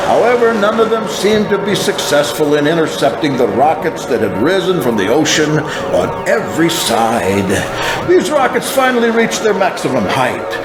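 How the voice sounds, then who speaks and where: An anguished evangelist, acted by a man, recounts a vision of doom in a highish, hugely expressive voice. The delivery is fervent and theatrical, swelling and falling over loud music in an echoing dramatized recording. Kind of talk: dramatized first-person narration over music.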